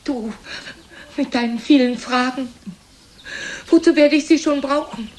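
A woman's voice: high-pitched, fairly even intonation, a little slow, slight background noise. An older actress playing an aging, self-pitying, reproachful mother in a theatrical German radio drama, lamenting in a plaintive, dramatic way. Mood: defensive, scornful. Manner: plaintive, dramatic, repetitive lamenting with swings in loudness.

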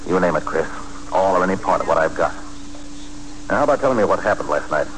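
Quick, deep-voiced scheming club boss. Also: male voice, animated, fairly bright tone. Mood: eager, scheming. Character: breezy male club boss, opportunistic and smooth-talking. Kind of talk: 1940s radio acting. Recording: humming, noisy 1940s radio recording.

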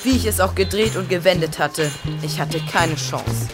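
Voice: medium pitch, very animated, normal speed, loud music behind the voice, lightly acted. A performer playing a schoolboy diarist who narrates his own misfortunes in a wry, self-dramatising way for a German audio drama. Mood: exasperated. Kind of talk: scripted first-person audio drama narration.

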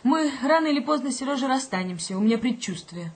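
An actress playing a young pregnant wife in a radio play, doubtful and fretful yet stubborn, with a plaintive, slightly husky delivery. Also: female voice, high-pitched, lively intonation, fast talker. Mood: stubborn, troubled. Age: young adult. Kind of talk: naturalistic radio play acting.

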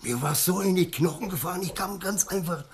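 Aufgewühlter, stockend erzählender Mann vom Dorf. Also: male voice, high voice. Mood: shaken, awed. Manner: halting, stammering, insistent eyewitness telling. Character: shaken, tipsy village man recounting an uncanny sighting. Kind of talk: German radio play acting.

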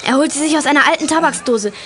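A woman's voice: high voice, very fast, lightly acted. A female actor playing a plucky, curious schoolgirl detective in a children's audio drama. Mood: excited, secretive. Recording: clearly noisy old audio play recording.

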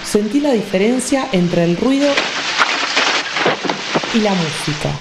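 A woman's voice: medium pitch, animated, slow pace, over loud music, poor audio. A woman reading a scripted radio show promo as an announcer. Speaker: radio station-ident announcer. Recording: loud sizzling noise behind.

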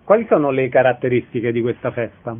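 A man's voice: medium pitch, animated, fairly quick. A man hosting a radio interview, introducing and questioning guests. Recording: muffled band-limited radio broadcast sound.

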